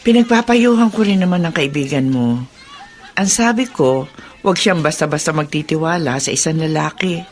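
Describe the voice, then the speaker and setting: An actress playing a gentle middle-aged mother giving advice in a Filipino radio drama. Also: deep voice, expressive, normal speed. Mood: gently advising.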